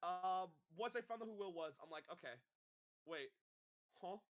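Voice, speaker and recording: A young man with a high voice tells a loose, rambling story about himself, peppering it with "like" and "I'm like." His delivery is casual and chatty. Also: normal speed. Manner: rambling, full of "like" and "I'm like" asides. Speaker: voice actor guest.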